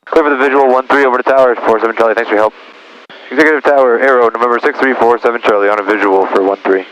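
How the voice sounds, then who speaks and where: A man's voice: mid-range voice, fairly even intonation, very fast, a bit bright, highs cut off, slight background noise. A man piloting a small plane, narrating each procedure as he goes and making radio calls, heard through a cockpit headset. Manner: talks himself through checklists and procedures. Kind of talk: vlog narration of his flying and radio calls. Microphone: aviation headset.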